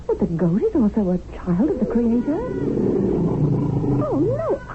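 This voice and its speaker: A woman's voice: a little high, huge pitch swings, measured pace. An actress playing a naive, headstrong, talkative English lady in a prim, argumentative manner in a radio drama. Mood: indignant. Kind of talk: 1970s radio drama acting. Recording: narrow-band noisy old radio broadcast.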